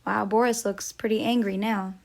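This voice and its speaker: A woman's voice: medium pitch, fairly even intonation, moderate pace, creaky, darkish tone. A woman storyteller reading a picture book aloud for children, as a teacher would.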